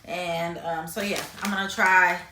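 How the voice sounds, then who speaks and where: A woman's voice: on the low side, fairly even intonation, measured pace, background noise. Chatty woman in an echoey room. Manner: chatty, frequent fillers and restarts; eats while talking, tasting food on camera. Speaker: vlogger reviewing grocery finds. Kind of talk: casual chat to camera.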